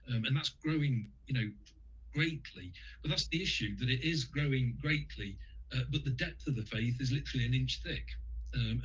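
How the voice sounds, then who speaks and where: A man's voice: medium pitch, husky, muffled recording. A man from the UK speaking as a guest on a radio interview, talking in a hesitant way with many fillers and restarts.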